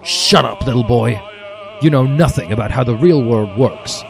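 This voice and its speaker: A man's voice: mid-range voice, expressive, moderate pace, vocal fry, faint music behind, rough recording. A man voicing an evil, greedy male teacher who talks down to a pupil in a gloating, comic-villain manner. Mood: angry, scornful. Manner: gloating, condescending.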